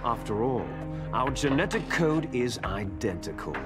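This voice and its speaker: An actor playing a bitter, taunting villain, the hero's twin soldier brother, in a theatrical, smug video game cutscene style. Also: male voice, medium pitch, sing-song. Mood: coolly explaining. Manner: theatrical, smug, drawn-out menacing delivery. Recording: loud game music behind the voice.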